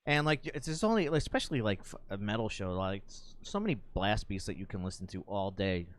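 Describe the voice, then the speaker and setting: A man's voice: on the low side, very animated, a little fast. A man in his forties hosting a casual podcast chat, laid-back and joking. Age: 40s. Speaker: podcast host.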